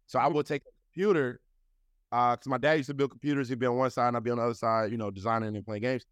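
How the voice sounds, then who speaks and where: A man's voice: medium pitch, steady tone, rapid-fire, smooth, no echo. Rambling man in his thirties, dry sound. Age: 30s. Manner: loose, rambling, heavy with fillers like "you know" and "like". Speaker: interview guest. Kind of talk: casual conversational interview.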